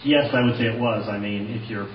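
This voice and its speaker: A man's voice: fairly low pitch, fairly quick, recorded from a distance. An American man giving testimony as a witness, answering in a plain, matter-of-fact way. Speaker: witness, computer programmer. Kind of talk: sworn testimony in answer to questions. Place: large indoor hearing room. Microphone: hearing microphone, at some distance. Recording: roomy, off-mic, dull, faintly noisy hearing audio.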